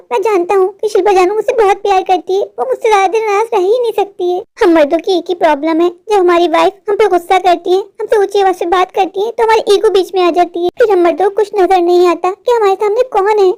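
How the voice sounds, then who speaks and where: Woman doing a very high-pitched earnest village husband. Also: mellow. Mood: remorseful. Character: earnest, gullible village husband, fretful and easily flattered. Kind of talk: cartoon dialogue and monologue.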